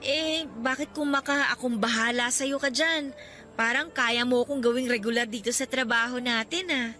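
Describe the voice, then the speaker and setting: A woman's voice: fairly high pitch, steady tone, moderate pace, sharp tone. A woman playing a shy, insecure young co-worker, hesitant and lightly teasing, in radio drama dialogue. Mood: doubtful, teasing. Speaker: drama voice actor.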